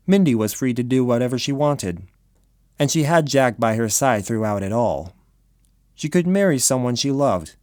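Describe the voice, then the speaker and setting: A man's voice: mid-range voice, darkish tone, no echo, studio quality. A man reading scripted story narration for an audio drama.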